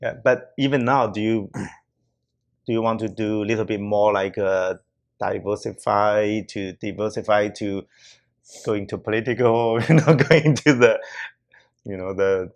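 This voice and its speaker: A man's voice: on the low side, steady tone, unhurried. A man hosting a casual podcast chat, talking in loose, non-native English with lots of laughs and restarts. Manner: chatty, laughs often, frequent "yeah" and restarts. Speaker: podcast host.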